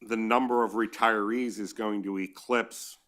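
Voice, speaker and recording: A man on a school board talking in a committee meeting, chatty, quick to agree and to joke. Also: lowish voice, steady tone, fairly bright tone. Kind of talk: meeting discussion.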